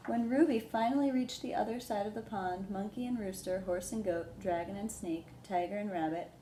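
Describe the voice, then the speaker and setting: A woman hosting a children's storytime, explaining things simply and gently and reading a picture book aloud. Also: mid-range voice, moderate pace. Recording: roomy echo, background noise.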